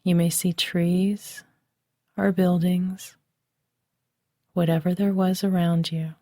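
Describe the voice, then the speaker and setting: Slow, soothing woman guiding meditation. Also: lowish voice, little pitch movement, warm tone, dry recording, clean studio sound. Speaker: meditation guide. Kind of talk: guided relaxation meditation.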